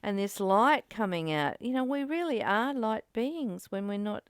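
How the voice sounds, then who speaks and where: A woman's voice: medium pitch, lively intonation, unhurried, clean tone, dry recording, studio quality. A woman hosting an informal spiritual study talk, speaking earnestly and posing rhetorical questions. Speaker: host of a spiritual study session. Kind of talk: informal spiritual teaching and discussion.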